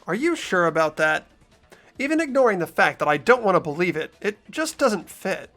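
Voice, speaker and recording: An earnest young detective, acted by a performer in a fan-voiced game drama. The voice is mid-pitched and very lively, rising and falling as he presses for the truth. Light music plays behind. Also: normal speed. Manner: earnest, inquisitive.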